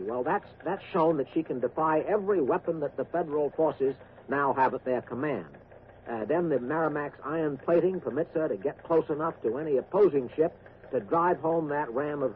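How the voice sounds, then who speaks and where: An actor playing an earnest war correspondent in a 1940s radio drama, grave and emphatic, with halting delivery. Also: male voice, fairly high pitch, close to an everyday voice. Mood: grave, explanatory. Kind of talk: 1940s radio acting as news commentary. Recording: tinny, noisy vintage radio recording.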